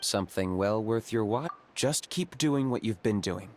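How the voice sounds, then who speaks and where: An actor playing a calm, blunt young monster hunter in a video game, speaking in a measured, matter-of-fact way. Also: male voice, fairly low pitch, noisy background, lightly acted. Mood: dry, businesslike. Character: young male saurian hunter, calm, blunt, pragmatic loner.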